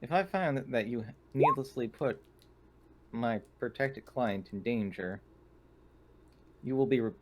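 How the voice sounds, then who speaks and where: A man's voice: mid-range voice, a little slow, long pauses, a little mellow, lightly acted. A man voicing a formal, dutiful, protective synthetic assistant in a stilted manner. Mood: stern.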